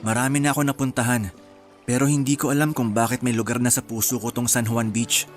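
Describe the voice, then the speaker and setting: An actor with a Filipino accent playing an easygoing, sentimental young man in a radio drama, relaxed and reflective. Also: mid-range voice, fairly quick, faint music behind, lightly acted. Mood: relaxed, curious. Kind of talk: Tagalog radio drama acting.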